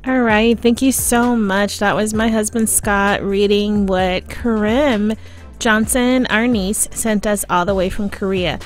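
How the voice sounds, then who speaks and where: A woman's voice: medium pitch, fairly even intonation, measured pace, faint music behind. An American woman hosting a podcast, talking conversationally and directly to listeners in a personal, casual style. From United States. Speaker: podcast host.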